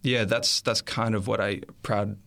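Low gravelly man, earnest and hesitant. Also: steady tone, a little slow, a bit bright. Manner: earnest, hesitant, thinking aloud. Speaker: interview guest. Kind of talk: reflective personal testimony.

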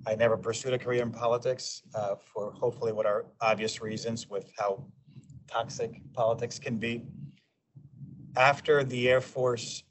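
Interview guest, male, 40s, from New York, measured delivery with restarts, recorded off-mic with faint noise. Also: medium pitch, fairly even intonation, far from the mic, poor audio. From New York, USA. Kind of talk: casual interview.

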